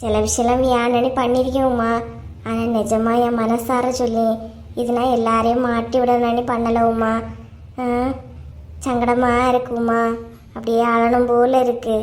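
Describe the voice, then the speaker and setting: An anxious neighbour woman played by an actress from a cartoon comedy pleads in a high voice. Her Kanyakumari Tamil is plaintive and full of self-reproach, in the manner of a remorseful elder sister. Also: completely flat delivery, measured pace, a little mellow, lightly acted. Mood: sorrowful, worried. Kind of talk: animated comedy drama dialogue.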